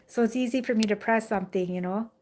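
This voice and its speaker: A talkative young woman chatting with her viewers on a live stream, recorded on the phone she holds in her hand. Her voice sits a little high, and she rambles easily, full of casual fillers. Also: fast, darkish tone, a little hiss or hum. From Jamaica. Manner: chatty, rambling, frequent "like" and "you know". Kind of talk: casual live chat reading viewer comments. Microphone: phone held in hand.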